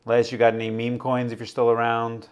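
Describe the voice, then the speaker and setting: A man casually hosting a livestream, rambling, laughing often and reading out viewer chat, his voice a little raspy. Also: mid-range voice, monotone, a little fast, dull audio. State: slightly raspy voice. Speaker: livestream host.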